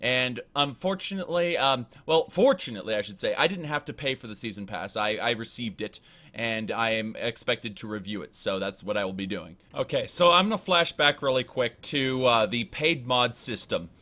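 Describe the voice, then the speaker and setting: A man chatting casually on a podcast about games he covers in his videos, in a measured, slightly formal way. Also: medium pitch, expressive, sharp tone. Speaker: podcast guest, lore and modding video creator.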